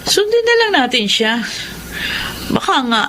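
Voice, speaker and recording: High-voiced younger sister. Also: female voice, big pitch swings, unhurried, pushed and bright, slight background noise, exaggerated voice, poor audio. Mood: concerned. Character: affectionate, playful younger sister in a family drama. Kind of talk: radio drama acting.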